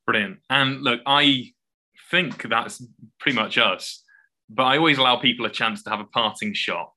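A man's voice: mid-range voice, moderate pace, pushed and bright. A man hosting a podcast, asking questions and wrapping up with his guests in a friendly way. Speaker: podcast host. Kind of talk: podcast interview.

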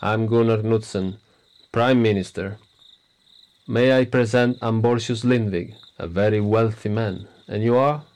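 An actor playing a pompous, smug prime minister in a radio play, lecturing with boastful self-importance. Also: male voice, on the low side, steady tone, unhurried, darkish tone. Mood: smarmy. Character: pompous arrogant male prime minister, middle-aged. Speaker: politician.

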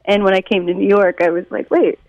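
An American woman from Detroit, a musician, answering interview questions in a casual, animated storytelling way with a creaky voice. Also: mid-range voice, a little fast, no room sound, rough recording. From Detroit, United States. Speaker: musician and songwriter being interviewed.

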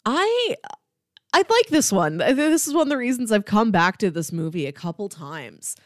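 A woman's voice: mid-range voice, very animated, moderate pace. A woman with a mid-range voice chats casually as a film podcast co-host. She sounds close and dry, with no room echo around her conversational delivery.

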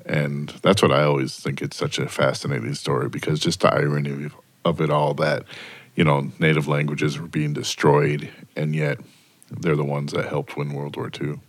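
A man's voice: extremely low pitch, level tone, normal speed, no echo. A man narrating a documentary, then reflecting in a casual way.

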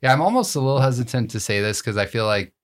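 A man's voice: medium pitch, animated, fast talker. A man co-hosting a podcast in casual chat, thinking aloud with some hesitation. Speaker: podcast co-host.

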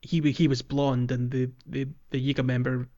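Dull-sounding man chatting haltingly about games. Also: mid-range voice, little pitch movement, a little mellow. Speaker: podcast host. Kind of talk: casual chat recapping a video game.

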